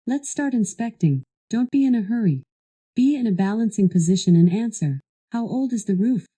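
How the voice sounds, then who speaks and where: A woman's voice delivering scripted instructional narration as a voice assistant, in a measured, even and formal way. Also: on the high side, slightly rough. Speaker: voice assistant guiding a product demo.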